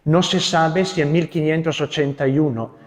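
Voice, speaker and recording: A young man from Italy, a Carmelite friar with an Italian accent, giving a gentle spiritual talk and leading prayers. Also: a little high, steady tone, long reverb tail. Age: young adult. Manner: gentle, unhurried, adds tag questions.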